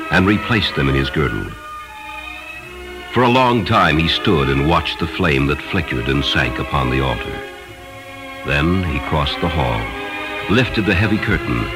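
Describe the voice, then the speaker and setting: Male literary narrator, low and expressive, solemn dramatic delivery with music underneath. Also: poor audio. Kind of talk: scripted literary story narration. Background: music behind the voice.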